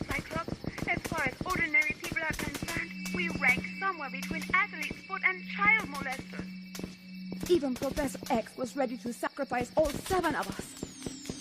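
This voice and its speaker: A woman's voice: sits high, rough recording. An actress playing a sardonic, stressed young woman mutant and former thief. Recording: loud music score behind.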